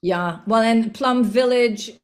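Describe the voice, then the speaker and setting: A woman's voice: a little high, takes it slowly, darkish tone. A woman speaking earnestly as a panel speaker in a group discussion session.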